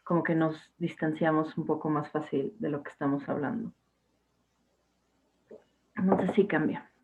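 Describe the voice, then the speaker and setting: A woman's voice: low-pitched, fairly even intonation, measured pace, a little mellow, breathy edge. A young woman teacher, talking to her class casually, with fillers and restarts over a video call. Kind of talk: casual online class talk. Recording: tinny phone-like line.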